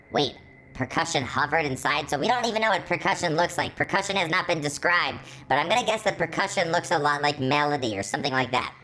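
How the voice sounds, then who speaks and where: A woman's voice: deep voice, fairly bright tone, poor audio. A woman hosting a story reading, narrating fan fiction and adding her own commentary. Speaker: story reader, channel host.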